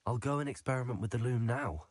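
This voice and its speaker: A man's voice: mid-range voice, fast talker, no echo, studio quality, lightly acted. An actor playing a polite, earnest young male student wizard in a video game, speaking in a courteous, composed way. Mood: polite, curious. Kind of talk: scripted video game character dialogue.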